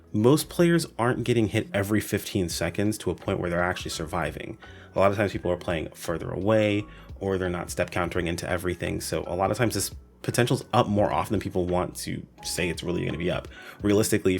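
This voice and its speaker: A man's voice: deep voice, vocal fry. A man giving a casual, conversational explainer commentary for a gaming video, with emphatic asides. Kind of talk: casual explainer commentary on game weapon stats. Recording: voice-over with music behind.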